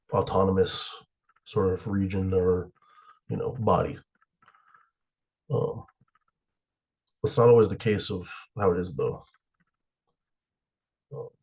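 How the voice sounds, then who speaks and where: A sick young man from the USA, slightly hoarse and raspy, giving a class presentation with many fillers and pauses. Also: low-pitched, darkish tone, highs cut off. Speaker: student presenter.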